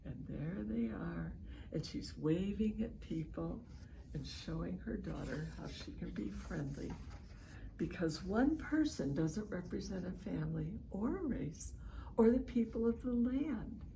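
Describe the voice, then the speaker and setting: A woman reads a picture book aloud to children with lively, swinging intonation and an unhurried pace. She lingers on phrases and encourages young listeners with little questions. There is clear background noise in the home recording. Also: mid-range voice. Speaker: read-aloud host for children. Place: at home, online session.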